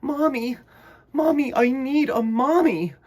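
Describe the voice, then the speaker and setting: A man's voice: very high voice, takes it slowly, a little mellow, voice pushed up, heavily acted. A man voicing a lonely, earnest little bird child in a storybook, pleading and exclaiming. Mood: tearful.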